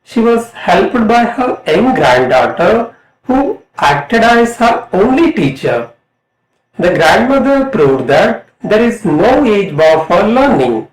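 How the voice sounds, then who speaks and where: A middle-aged man with an Indian accent reading a scripted lesson as an English lecturer, in a deliberate, emphatic way. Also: sits high, big pitch swings. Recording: roomy, echoing voice.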